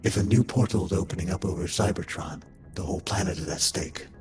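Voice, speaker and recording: Casting: age-old robot knight played by a man, low voice, solemn and grave, music underneath. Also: expressive, fast. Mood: foreboding. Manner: solemn, formal. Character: grave, ancient male robot warrior. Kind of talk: scripted fan dub of a comic.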